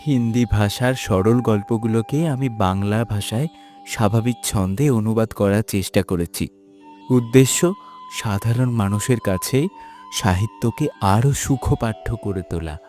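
Deep-voiced, dignified scholar-reformer played by a man. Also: warm tone, soft background music, lightly acted. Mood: gracious, modest. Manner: formal, earnest, measured. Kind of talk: scripted cartoon dialogue.

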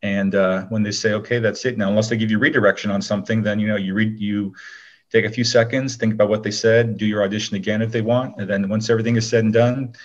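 A man's voice: on the low side, flat delivery, quick pace, dull audio. A man speaking as an interview guest, giving casual advice in a rambling way full of "you know".

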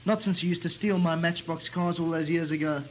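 Man acting a high-voiced, portentous, suspicious brother. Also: steady tone, brisk, a little hiss or hum, natural voice, low-quality recording. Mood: accusing. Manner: ominous, portentous, declamatory. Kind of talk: comic melodrama radio play acting.

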